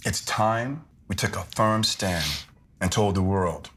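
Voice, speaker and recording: Deep-voiced, earnest church activist. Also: male voice, slow pace, fairly bright tone. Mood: earnest, persuasive. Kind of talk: film drama dialogue.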